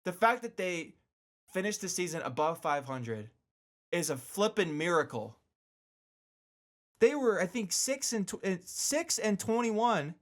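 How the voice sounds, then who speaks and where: A man's voice: high-pitched, sing-song, a little slow, pauses often. A man hosting a casual solo radio show, talking informally with slangy asides and repeating words for emphasis. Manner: emphatic repetition for stress.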